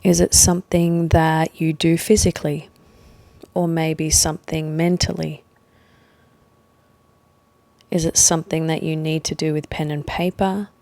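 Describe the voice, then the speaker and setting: A woman with a low voice leads a guided meditation. She speaks very gently and slowly, leaving space between short phrases. The sound is close and dry, with no room echo. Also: flat delivery. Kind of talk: guided meditation, slow soothing instruction.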